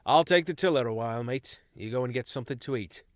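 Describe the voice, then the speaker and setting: A man's voice: mid-range voice, fast, dry recording, clean studio sound, natural voice. A man voicing a good-humoured mouse ship captain in a storybook, hearty and wry.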